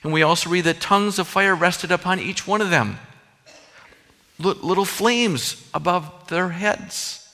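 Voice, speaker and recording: A man with a Minnesota accent delivers a sermon in a bright, forward voice that sits a little high for a man. He preaches earnestly and conversationally, with a long reverb trailing his words. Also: measured pace. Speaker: pastor.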